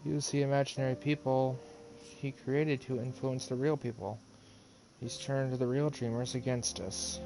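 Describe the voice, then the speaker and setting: A man's voice: mid-range voice, fairly even intonation, moderate pace, mellow, a little hiss or hum, low-quality recording. A man giving casual, musing commentary as he streams a video game. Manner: chatty, musing. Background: faint game music.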